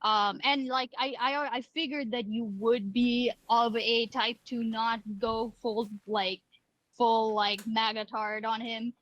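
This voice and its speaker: A woman's voice: a little high, flat delivery, takes it slowly, muffled recording, slight background noise. A woman streamer on a call, talking casually and hesitantly, often restarting her sentences.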